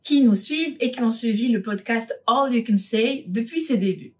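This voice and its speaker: A woman's voice: on the high side, animated, normal speed. A woman podcast host speaking informally and directly to her viewers.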